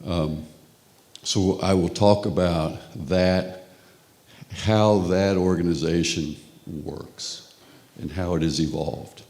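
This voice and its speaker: A middle-aged man from Texas with a Texan accent, giving a relaxed, conversational conference lecture with frequent restarts. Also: sits low, slow, creaky. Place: conference hall.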